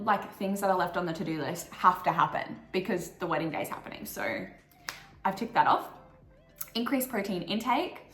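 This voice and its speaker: A young adult woman with an Australian accent and a croaky morning voice, chatting casually and upbeat through her monthly goals vlog with plenty of "like" fillers. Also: medium pitch, fairly bright tone, reverberant. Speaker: vlogger.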